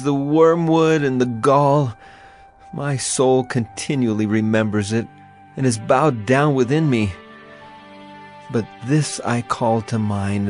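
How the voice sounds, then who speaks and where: An actor playing a grieving, afflicted prophet in a dramatized scripture reading, with solemn, emphatic and deliberate phrasing. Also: male voice, medium pitch. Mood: anguished lament. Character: grieving, afflicted male prophet lamenting and praying.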